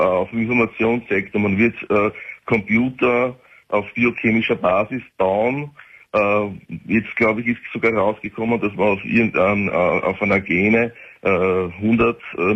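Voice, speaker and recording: A man's voice: lowish voice, fairly even intonation, normal speed, slightly rough. A man in his forties with an Austrian accent, calling in to a radio show and rambling with many fillers and restarts. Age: 40s. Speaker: caller. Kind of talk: phone-in call. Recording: dull lo-fi phone line.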